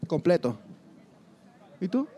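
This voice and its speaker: A man with a Venezuelan accent, hosting a casual question game and answering in an animated, joking way that often repeats words. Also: high-pitched, takes it slowly.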